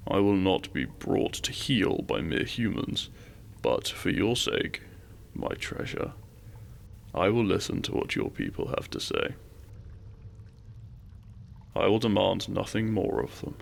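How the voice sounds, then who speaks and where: A man playing an imperious, possessive dragon king in a romantic fantasy audio roleplay, speaking with formal, commanding courtesy and a gravelly voice. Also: deep voice, sing-song, a little hiss or hum, full character voice. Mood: formal, courting. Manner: formal, commanding, deliberate menace with seductive courtesy.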